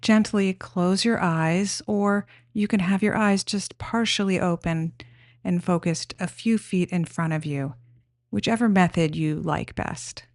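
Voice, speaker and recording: Woman doing guided meditation, slow n gentle, lowish voice, super dry close sound. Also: no echo. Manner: gentle, unhurried, instructional.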